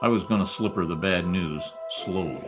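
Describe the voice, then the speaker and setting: Radio noir gumshoe, played by a man: deep voice, world-weary, wry, clipped lines, music underneath. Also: moderate pace, close to an everyday voice. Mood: wry, brooding. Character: hard-boiled private detective, world-weary, quietly decent. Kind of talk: old-time radio noir acting and narration.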